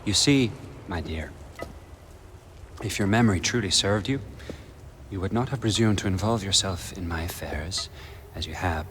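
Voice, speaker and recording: An actor playing a smug, condescending villain in a video game, speaking with formal, measured menace. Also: male voice, sits low, fairly bright tone, slight background noise. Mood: mocking menace. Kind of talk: video game cutscene acting.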